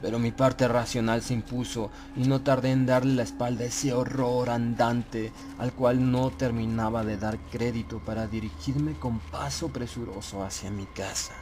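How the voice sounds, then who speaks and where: A man narrating a horror audiobook in a solemn, measured, suspenseful way. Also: medium pitch, fairly even intonation, noisy background. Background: prominent background music.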